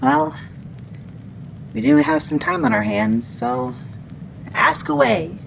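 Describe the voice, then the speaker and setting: A woman playing a friendly, skittish wendigo in an ASMR roleplay, protective and halting in the way this forest monster talks. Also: sits high, very animated, full character voice. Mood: wary, playful. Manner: hesitant, halting, hushing. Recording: clearly noisy background.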